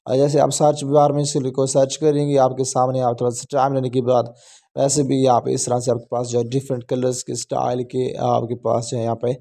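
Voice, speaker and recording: Chatty man, mellow voice. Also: medium pitch, level tone, brisk, creaky. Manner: chatty, many fillers and "theek hai ji". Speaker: tutorial host. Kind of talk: phone screen-recording tutorial.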